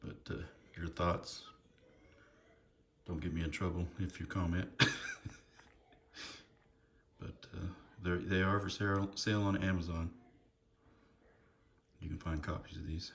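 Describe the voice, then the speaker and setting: Guy in his 50s, real low gravelly voice, just rambling to viewers kinda amused and sorry about it, bit of background noise. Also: little pitch movement, takes it slowly, pauses often, fairly bright tone. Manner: amused, chuckling, hesitant and apologetic. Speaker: vlogger showing books from a collection. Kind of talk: casual rambling chat to viewers.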